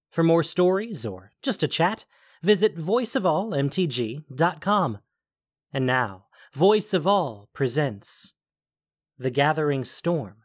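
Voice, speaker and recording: A man's voice: a little high, no echo, clean studio sound. A man acting as narrator, reading scripted fantasy fiction.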